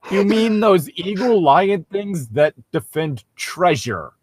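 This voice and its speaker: A man's voice: a little high, husky. A man reading a story aloud as a show co-host, breaking in with sarcastic, nitpicking commentary in a casual way. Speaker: co-host of a comedic fan fiction reading show.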